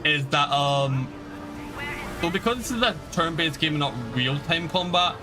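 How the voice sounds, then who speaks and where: A man's voice: on the high side, a little slow. A man giving casual, chatty live commentary as he streams a video game. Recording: music playing behind the voice.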